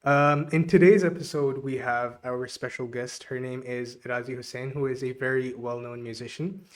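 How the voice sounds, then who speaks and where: A man's voice: mid-range voice, fairly even intonation, normal speed. A man from Pakistan, hosting a podcast and asking questions in a hesitant way, with many fillers. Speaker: podcast host. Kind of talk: podcast interview.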